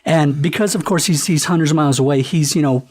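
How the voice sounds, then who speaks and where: A podcast panelist improvising a comedic pitch in casual chat, with a playful, halting delivery. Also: darkish tone, breathy edge.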